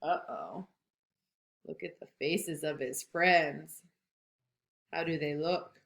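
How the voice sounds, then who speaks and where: A woman reading a picture book aloud to children, slow and expressive, stopping to ask questions. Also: sits low, vocal fry. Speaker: read-aloud storyteller. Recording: roomy echo.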